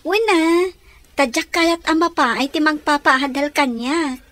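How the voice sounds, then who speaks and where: A woman's voice: high-pitched, unhurried, fairly bright tone, soft background music. A woman with a Filipino accent acting a feisty, outspoken yet sentimental woman in a radio drama. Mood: scolding, indignant.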